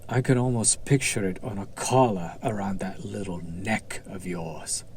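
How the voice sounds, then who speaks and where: A lowish male demon's voice, acted by a man, drawling slowly over noticeable background ambience. He is smug and seductive, coaxing with silky condescension and menace, as in an intimate roleplay audio drama. His deliberate pauses let each taunt hang. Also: a little mellow, slight background noise. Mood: teasing, coaxing.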